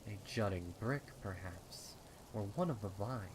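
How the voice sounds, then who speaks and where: Guy reading scary story, low voice, suspenseful, rain noise behind him. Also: unhurried. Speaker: fan fiction narrator. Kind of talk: dramatic horror story narration.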